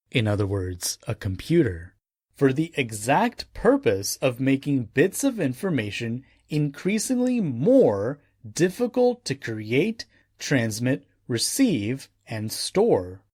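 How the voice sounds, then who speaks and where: Deliberate male audiobook narrator, husky voice. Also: mid-range voice, a little mellow, no echo, clean studio sound. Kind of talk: scripted nonfiction audiobook narration.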